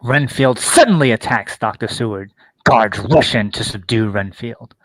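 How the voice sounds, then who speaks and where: A man's voice: mid-range voice, normal speed, fairly bright tone, dry recording. A man reading scripted stage directions as the narrator of a play.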